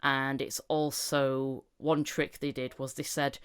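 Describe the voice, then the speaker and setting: Clear, smooth-voiced woman chatting. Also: extremely low pitch, little pitch movement, slow pace. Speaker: podcast host, voice actor. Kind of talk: casual chat, telling anecdotes.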